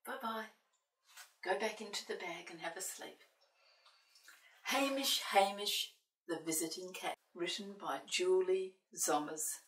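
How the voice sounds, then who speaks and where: Older woman, children's story reader, slow pace, playful sing-song delivery, distant echoey recording. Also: on the low side, a bit bright. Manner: playful, sing-song, asking questions of young listeners. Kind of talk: animated picture-book reading with asides to young children. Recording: roomy echo, distant microphone.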